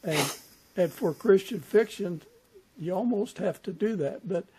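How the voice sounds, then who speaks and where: A man's voice: high voice, measured pace, a little mellow, slightly rough, low-quality recording. An older man hosting a podcast, chatting casually as he interviews a guest. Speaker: podcast host.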